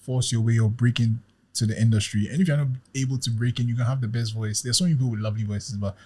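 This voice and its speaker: Animated man host. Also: medium pitch, fast, husky. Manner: animated, joking. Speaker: podcast co-host. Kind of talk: casual podcast chat.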